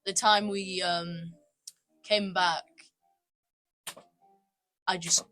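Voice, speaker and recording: A woman's voice: lowish voice, fairly even intonation, drawn-out words, pushed and bright. A teenage girl co-hosting a casual student podcast, talking in a chatty way, repeating herself and laughing as she goes. English is not her first language. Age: teens. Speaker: podcast co-host. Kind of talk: casual chat.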